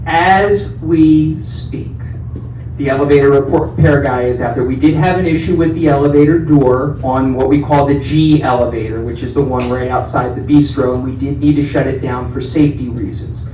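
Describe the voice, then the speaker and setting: A man with a loud voice hosting a community town hall, friendly and joking with residents by name. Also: mid-range voice, steady tone, normal speed, slight background noise. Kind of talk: casual town hall talk. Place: large meeting room indoors. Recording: echoey room, distant mic.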